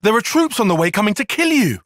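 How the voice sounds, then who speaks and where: A man's voice: sits high, very animated, fast, a bit bright, no room sound, clean studio sound. A man playing an earnest, analytical young soldier in a fantasy video game, speaking with precise, formal phrasing. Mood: alarmed. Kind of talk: video game cutscene acting.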